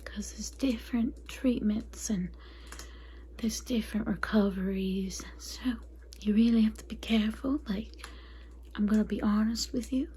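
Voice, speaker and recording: Whispering, mellow, catty vampire consultant played by a woman. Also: medium pitch, steady tone, unhurried, rough recording. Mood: frank, businesslike. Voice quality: soft, breathy whisper. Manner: confiding, gossipy, apologetic asides. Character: catty, chatty female vampire transformation consultant. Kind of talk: soft-spoken ASMR roleplay.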